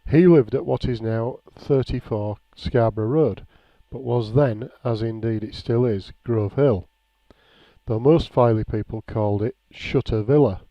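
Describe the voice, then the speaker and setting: A man reading a nostalgic written memoir aloud in a measured, plain storytelling way. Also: medium pitch. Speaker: reader of a written local-history account. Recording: dull, lo-fi, echoey room.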